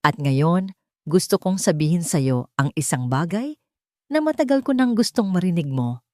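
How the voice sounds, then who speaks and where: A woman voicing an earnest, tender wealthy man in a romance audio story, speaking with slow, intimate emotion. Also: fairly low pitch, very animated, darkish tone, dry recording, studio quality. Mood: loving, intimate.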